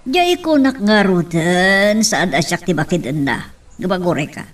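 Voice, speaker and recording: Woman acting a lively bantering mother, distant mic. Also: lowish voice, a little slow. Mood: teasing. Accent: Ilocano. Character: mother bantering with her lovelorn son. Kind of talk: Ilocano audio drama acting.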